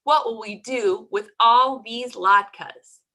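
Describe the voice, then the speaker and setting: A woman's voice: a little high, sharp tone, full character voice. A woman storyteller voicing a frantic, easily exasperated housewife who exclaims and moans in a folk tale. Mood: groaning. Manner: exclaiming, moaning with a drawn-out "Oi".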